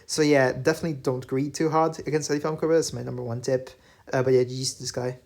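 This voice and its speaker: A man whose first language is French, talking through his game replays in a relaxed voice-over full of fillers and self-corrections. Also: medium pitch, fast talker, creaky. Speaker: gaming channel host. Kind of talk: gameplay commentary voice-over. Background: faint background music.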